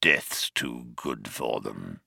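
A man's voice: on the low side, wildly swooping pitch, slow pace, gravelly, sharp tone, no room sound, studio quality, exaggerated voice. Man playing a grating, callous alien captain. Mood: detached amusement. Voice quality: whirring, grating voice. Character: callous, menacing alien captain who writes poetry.

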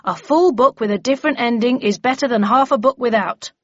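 An officious, stern male bellman, acted by a woman narrator declaims to his meeting in a storybook reading. The delivery is brisk and chairmanlike, recorded very dry with a slightly dull sound. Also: on the high side, no echo, pitched above the performer's own voice, close to an everyday voice. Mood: firm.

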